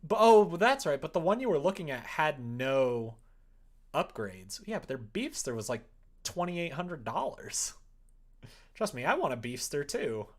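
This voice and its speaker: A streamer in loose, informal chat mode. The voice sits at a middle pitch and moves around a lot, with an animated delivery that slips into quoted asides as if talking with friends. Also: unhurried. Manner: informal, animated, quoting imagined exchanges. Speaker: streamer chatting while making a visual novel. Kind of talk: casual livestream chat.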